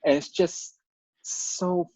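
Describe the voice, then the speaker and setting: A man's voice: takes it slowly, darkish tone, no room sound. A man in a casual group conversation, recorded over a dull online call. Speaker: cast member and singer. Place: video call.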